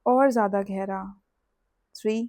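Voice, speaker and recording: A woman with an Indian accent leads a guided sleep meditation in a soothing, deliberate way, leaving long pauses between phrases. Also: medium pitch, darkish tone, dry recording. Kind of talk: guided sleep hypnosis with body scan.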